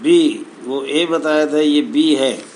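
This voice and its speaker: A man reading official documents aloud and explaining them in a halting, phrase-by-phrase way. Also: a little high, darkish tone. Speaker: presenter explaining rules to fellow members. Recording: noticeable background noise.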